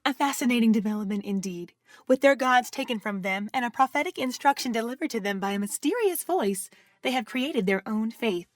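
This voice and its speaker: Woman playing a formal assistant, slightly high voice, polite and measured, very dry sound. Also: expressive, dry recording, lightly acted. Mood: composed, formal. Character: formal, composed female overseeing assistant. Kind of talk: audio drama dialogue.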